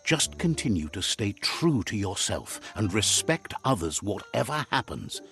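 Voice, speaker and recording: An actor playing a wry, fond storybook narrator in a game, with a measured storyteller's delivery. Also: male voice, fairly low pitch, very animated, creaky, over music, full character voice. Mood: fond, reassuring. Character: male storybook narrator relaying creatures' words, wry and fond.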